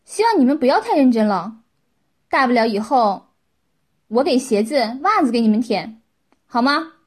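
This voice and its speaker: A woman voicing an imperious, impatient young woman who gives loud orders in an audiobook story. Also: fairly high pitch, sing-song, normal speed, slightly rough. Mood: firm, conceding. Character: imperious young woman, scolding master of servile followers.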